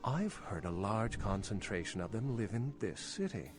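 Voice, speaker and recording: An actor playing an urbane, worldly old vampire scholar in a video game, speaking in a formal, wry, articulate way. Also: male voice, fairly low pitch, sing-song, normal speed, music in the background. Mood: musing. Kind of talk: video game character dialogue.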